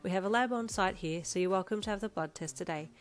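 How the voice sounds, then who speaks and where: A woman voicing a calm, friendly female doctor giving instructions. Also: mid-range voice, fast, faint music behind, close to an everyday voice. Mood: reassuring.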